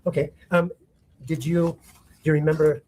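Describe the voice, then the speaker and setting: A man examining a witness in a courtroom, speaking haltingly with many pauses and rephrasings. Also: on the high side, flat delivery, a little mellow, a little hiss or hum, poor audio. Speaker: trial attorney.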